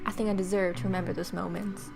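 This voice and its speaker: A woman's voice: lowish voice, level tone, fast, creaky. A young adult woman hosting a let's play, reading visual novel text aloud expressively with casual, sarcastic reactions. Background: game music.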